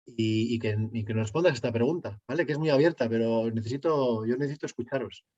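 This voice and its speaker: A man's voice: medium pitch, quick pace, very noisy. A man hosts a panel debate over a video call, passing the floor along in a casual, easygoing way. He peppers his sentences with little tag questions. The audio is dull and lo-fi. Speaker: moderator.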